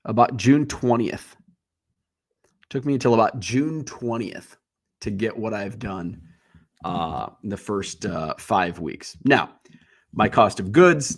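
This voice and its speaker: A man's voice: fairly low pitch, unhurried, slightly rough. Congested man chatting bluntly. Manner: blunt, profane, many fillers and restarts. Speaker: livestream host. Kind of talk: casual rambling chat.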